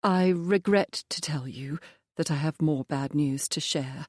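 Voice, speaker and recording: A reserved young woman, firm and measured, speaking quietly in a low, steady voice, as the woman narrating gives her lines. The sound is dry and close. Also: no echo. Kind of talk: audiobook dialogue.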